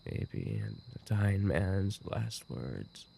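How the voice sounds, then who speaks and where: A man's voice: low voice, big pitch swings, drawn-out words, vocal fry, dark tone, a little hiss or hum, full character voice. A man playing a dying, obsessive and remorseful yandere roommate in an audio roleplay, speaking in weak, broken, repeated phrases. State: weakened, dying from an injury. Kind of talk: boyfriend ASMR audio roleplay acting.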